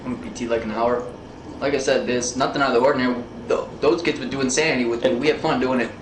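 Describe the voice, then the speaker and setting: A man's voice: mid-range voice, fairly even intonation, fast talker. American man in an echoey, noisy room. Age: 30s. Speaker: suspect being questioned by police. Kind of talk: police interview answers. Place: indoor police interview room.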